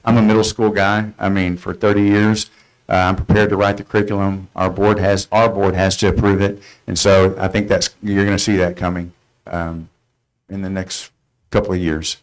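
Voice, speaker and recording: A man's voice: sits low, level tone, normal speed, low-quality recording. A middle-aged man answering questions informally as a presenter for a student mentoring program. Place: school board meeting room.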